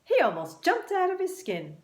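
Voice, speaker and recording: A woman reading a children's story expressively and deliberately, with dramatic pauses. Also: very high voice, wildly swooping pitch. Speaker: author and teacher reading own book. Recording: roomy, echoing room sound.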